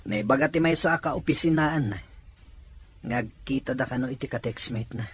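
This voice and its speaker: A man's voice: mid-range voice, normal speed, husky. A man playing a troubled, suspicious fellow confiding in a buddy in a serial drama.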